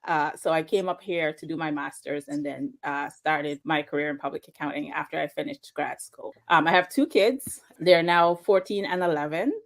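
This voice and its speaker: A woman's voice: sits low, moderate pace, gravelly, few pauses. A woman in her forties from Guyana, a podcast guest who talks easily and laughs often. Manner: warm, laughs easily, conversational. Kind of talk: interview conversation.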